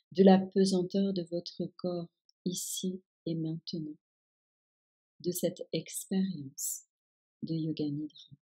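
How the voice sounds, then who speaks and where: Female meditation guide, low-pitched and very unhurried, calm and soothing delivery of relaxation instructions. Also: breathy edge, poor audio. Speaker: yoga nidra guide.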